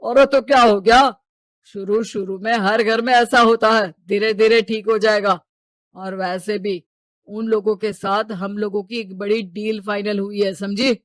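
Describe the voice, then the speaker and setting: A woman's voice: mid-range voice, steady tone, measured pace, dry recording, natural voice. Brusque, scolding cartoon dad, stern and mercenary, dry sound, female performer. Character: stern, money-minded, domineering father of a bride.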